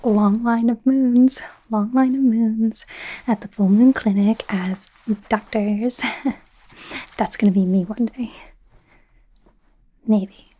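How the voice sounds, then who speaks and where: A woman's voice: a little high, steady tone, slow pace, long pauses, poor audio. Woman playing a whispering, awkward young werewolf doctor-in-training. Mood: curious, wry. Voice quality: breathy, soft whisper. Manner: chatty, hesitant, gently sarcastic, giggly. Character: young female werewolf doctor student, eager, awkward, wry. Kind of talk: whispered ASMR roleplay.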